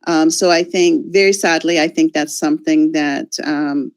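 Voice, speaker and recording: A woman's voice: sits low, fairly even intonation, normal speed. A woman from the United States with an American accent, answering a question as a guest speaker at a conference, thoughtful and full of fillers and restarts, heard over a video call.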